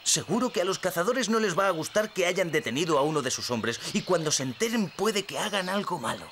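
High-voiced earnest gnome. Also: male voice, expressive, brisk, fairly bright tone, slight background noise. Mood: worried, curious. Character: earnest, worrying male gnome sidekick. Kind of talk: dubbed cartoon acting.

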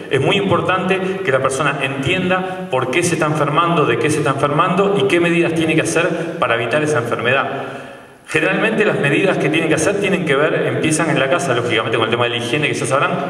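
A man's voice: on the high side, fairly bright tone. A man in his thirties with a Uruguayan Spanish accent, a dentist giving a conversational stage talk with frequent hesitations. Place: large theatre stage. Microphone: handheld mic on a stand, filmed from far off. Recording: roomy hall sound from a distant camera.

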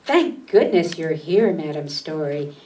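A woman's voice: deep voice, expressive, a little slow, mellow. A woman playing a fretful, proper society lady in a melodramatic old-time radio mystery. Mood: distressed. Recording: distant mic in meeting room.

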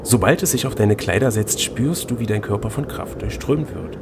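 A man's voice: lowish voice, moderate pace. A man acting as game master, reading a fantasy gamebook aloud and bantering casually. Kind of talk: storybook narration mixed with casual banter. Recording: noticeable background noise.

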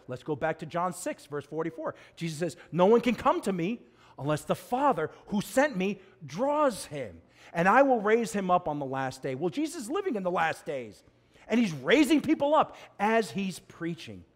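A middle-aged man preaches from a church pulpit, his voice climbing high and falling low. His delivery is expressive and animated, with loud emphatic peaks and hushed low passages. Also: normal speed. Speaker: pastor. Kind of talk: sermon.

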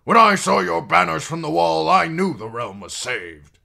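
A man voicing a fawning, scheming middle-aged lord with an oily, flattering manner. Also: fairly high pitch, normal speed, creaky, pitched above the performer's own voice, full character voice.

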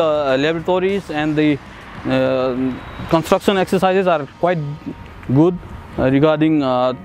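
A young man from Nepal with a slightly higher voice talks through his student life in a relaxed, halting way, leaning on lots of "uh"s. He is recorded close on a lapel mic while light music plays underneath. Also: rough recording. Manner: hesitant, many "uh" fillers, soft chuckles. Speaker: master's student. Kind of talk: casual testimonial interview. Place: outdoors on a city riverside path and in a bright building.

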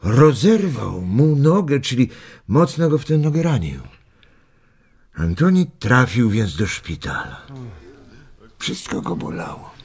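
An actor playing a gentle elderly grandfather telling stories slowly and hesitantly in a children's radio play. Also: medium pitch, vocal fry, exaggerated voice.

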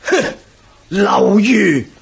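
An actor playing a pompous, impatient army commander who scoffs, in a Cantonese historical radio drama with a Hong Kong accent. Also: male voice, sits high, lively intonation, extremely slow, vocal fry, exaggerated voice. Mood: scornful, imperious.

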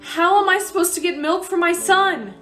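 A woman's voice: very high voice, normal speed, a bit bright, faint music behind. A woman playing a polite but desperate young wife and mother in a radio play, earnest and pleading. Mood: distressed.

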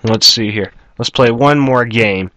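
A man's voice: mid-range voice, measured pace, pushed and bright, a little hiss or hum. A man giving casual, rambling gameplay commentary with crude language, recorded indoors in a small trailer on a camera aimed at the screen. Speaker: gamer, video host.

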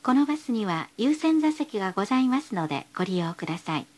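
A woman's voice: medium pitch, sing-song, fast talker, darkish tone. A woman reading scripted bus stop announcements in a polite, formal, carefully enunciated way.

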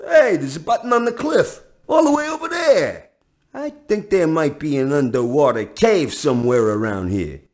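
High, excitable cartoon pig. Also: male voice, moderate pace. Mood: excited. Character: chatty, excitable talking pig in a cage. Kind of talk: cartoon video game acting.

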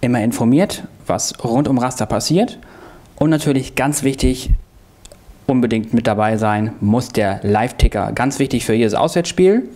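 Mid-pitched male promo narrator. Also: normal speed, a little mellow. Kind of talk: scripted promotional voice-over.

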